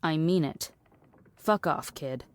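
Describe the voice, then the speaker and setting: A cynical woman, voiced by an actress in an audio drama. Her voice sits a little low, and she philosophises in a deadpan, wordy, dismissive way. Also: slow, clear, resonant tone. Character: cynical, blunt, philosophising woman in a trench coat.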